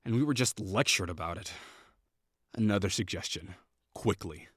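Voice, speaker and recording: A guy doing a snooty young classmate, low voice that swings up and down a lot, bossy and sarcastic, dry close sound. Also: lively intonation, moderate pace, a bit bright, breathy edge, dry recording, exaggerated voice. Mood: cold, condescending. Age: young adult. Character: prickly perfectionist young male classmate, condescending. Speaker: voice actor in an audio roleplay. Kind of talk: scripted roleplay acting.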